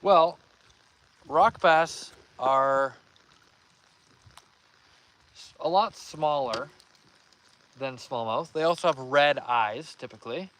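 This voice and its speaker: A young man hosting a live fishing stream outdoors, reading viewer comments aloud and giving upbeat shout-outs in a relaxed voice. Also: mid-range voice, slow pace, pauses often. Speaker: fishing livestream host.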